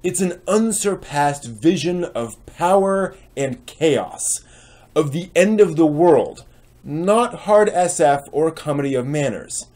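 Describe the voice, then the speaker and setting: Higher-pitched man, theatrical reader. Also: slow pace. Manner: theatrical, expressive emphasis. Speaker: host and reader. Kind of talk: dramatic reading of old online posts with explanatory commentary. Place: small home room with bookshelves, indoors.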